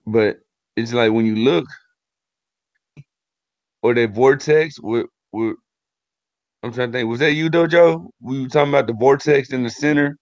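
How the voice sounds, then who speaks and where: A man's voice: mid-range voice, normal speed, pauses often, no room sound. A man hosting a casual live-stream discussion, talking loosely and thinking aloud. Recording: dull lo-fi stream audio.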